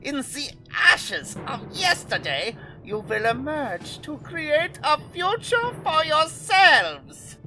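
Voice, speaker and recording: A woman's voice: high voice, sing-song, takes it slowly, hardly any pauses, sharp tone, faint music behind, heavily acted, rough recording. A woman acting a grandiose, theatrical villain with wounded pride in an audio drama, declaiming each speech. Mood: grandiose menace.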